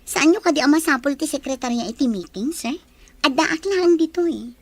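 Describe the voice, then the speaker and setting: A woman's voice: high voice, lively intonation, a little fast, no room sound. A woman acting a coy, lovestruck, wistful office secretary in an Ilocano audio drama. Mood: eager, coy.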